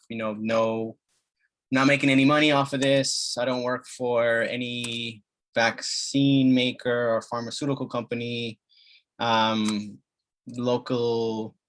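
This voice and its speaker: A family doctor from the Dominican Republic giving a community health talk in a halting way, with many fillers and restarts. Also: male voice, mid-range voice, a bit bright.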